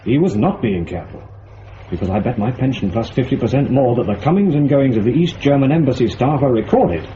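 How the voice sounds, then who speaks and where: A man's voice: medium pitch, expressive, brisk, mellow, lightly acted. A man acting a fussy forensic scientist in a spy radio drama, pedantic, testy and emphatic. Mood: insistent. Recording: muffled lo-fi audio, faint noise.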